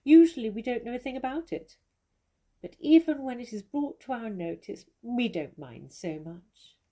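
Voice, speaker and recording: Woman playing an arch, mellow, worldly stage diva. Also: on the high side, lively intonation, moderate pace. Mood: amused condescension. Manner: arch, condescending, theatrical. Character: worldly, witty, self-assured famous stage actress, gently mocking. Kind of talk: theatrical stage comedy acting.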